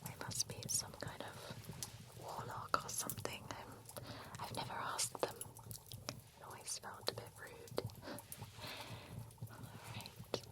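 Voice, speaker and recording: Woman whispering as a polite vampire spa attendant. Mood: soft, courteous. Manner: gently chatty, courteous, slow and soft. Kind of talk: ASMR roleplay.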